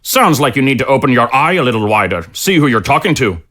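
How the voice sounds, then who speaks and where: Guy doing a stubborn tavern keeper in an audio drama, folksy and blustery, sharp voice, really lively pitch. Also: quick pace. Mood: indignant. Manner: blustery, colloquial. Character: Artag, feisty proud innkeeper, stubborn. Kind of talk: scripted audio drama acting.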